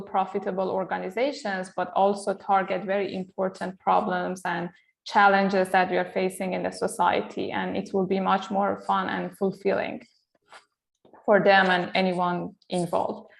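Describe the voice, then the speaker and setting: Iranian-woman, flat even delivery. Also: mid-range voice, creaky, echoey room. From Iran. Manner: measured, many um fillers and pauses. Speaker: guest, entrepreneur and lecturer. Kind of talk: interview conversation.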